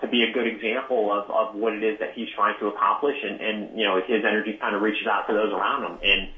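A man hosting an interview talks haltingly, restarting and repeating his words. His voice comes through a narrow, phone-like line with a roomy echo around it. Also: on the low side, level tone. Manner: halting, repeats words and fills with "you know". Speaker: podcast host. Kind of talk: conversational interview.

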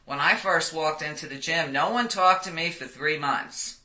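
A woman in her sixties with a loud voice, telling stories animatedly in an interview and laughing often. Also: low voice, moderate pace, low-quality recording. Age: 60s. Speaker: guest. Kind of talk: conversational interview.

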